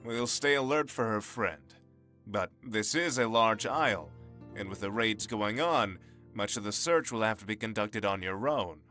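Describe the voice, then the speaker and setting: An actor playing a helpful, plain-spoken tax collector in a fantasy game dub. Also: male voice, on the low side, normal speed, pushed and bright, faint music behind. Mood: helpful, matter-of-fact. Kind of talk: video game character dub.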